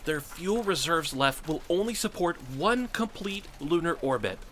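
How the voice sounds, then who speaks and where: A man's voice: fairly high pitch, animated, moderate pace, a bit bright, a little hiss or hum. An actor playing a nervous, deferential male flight controller, hesitant as he reports to a superior. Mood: anxious. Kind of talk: dramatic audio drama acting.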